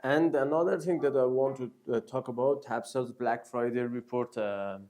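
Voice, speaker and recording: A man with a Persian accent, a native Persian speaker co-hosting a casual chat, slow and hesitant with many fillers. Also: medium pitch, fairly even intonation, warm tone. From Iran. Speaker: co-host.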